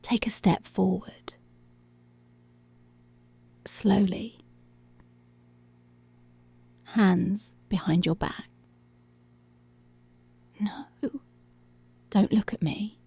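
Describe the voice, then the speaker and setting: A woman acts out a dominant policewoman in ASMR roleplay, very slow and controlling. Her voice is dark and husky, often sinking into a breathy whisper. Every command is deliberate and seductive, recorded close and intimate. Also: medium pitch, steady tone, dry recording, exaggerated voice. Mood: commanding, menacing. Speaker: ASMR voice actor.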